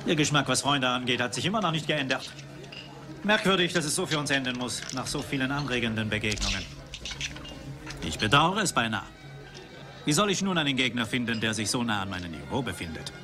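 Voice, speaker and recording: An actor playing a suave, cynical rival archaeologist in a dubbed film, speaking in a polished, philosophical way. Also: male voice, medium pitch, expressive, brisk, natural voice. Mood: suave, condescending. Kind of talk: dubbed 1980s film dialogue. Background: film music.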